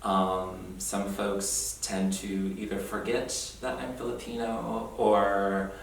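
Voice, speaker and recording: A young man reflecting earnestly on his heritage in an oral history interview, thoughtful and quick to laugh. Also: fairly low pitch, little pitch movement, creaky. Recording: roomy echo, distant mic, faint noise.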